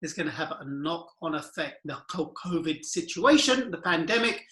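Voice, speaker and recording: A man's voice: on the high side, animated, normal speed, bright, forceful tone, reverberant. A man in his forties giving an earnest, rambling talk about money and the economy, asking rhetorical questions and laughing nervously at times. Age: 40s. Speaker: finance commentator. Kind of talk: casual monologue to camera.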